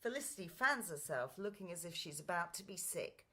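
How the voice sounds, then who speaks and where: A woman's voice: fairly low pitch, lively intonation, a little fast, sharp tone, husky. A woman narrating scripted stage directions for a radio play.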